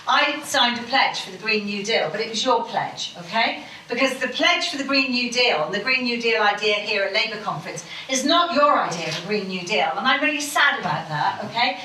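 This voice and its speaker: A woman's voice: fairly high pitch, lively intonation, fairly quick, sharp tone, a little hiss or hum. A woman speaking on a panel, emphatic and rambling, swearing for emphasis. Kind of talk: impassioned public panel speech. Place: conference hall. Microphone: distant mic in a room. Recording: echoey hall from far off.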